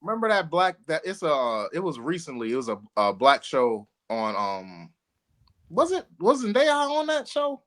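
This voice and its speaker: Animated high-pitched man bantering. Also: measured pace. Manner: animated, slangy, joking. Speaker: podcast host. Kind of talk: casual group banter.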